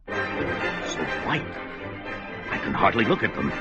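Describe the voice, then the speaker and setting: An actor playing a brawny young barbarian warrior who talks in a gruff, blunt way. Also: male voice, sits low, very animated, slow pace, heavily acted. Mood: watchful. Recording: dull lo-fi radio play with music.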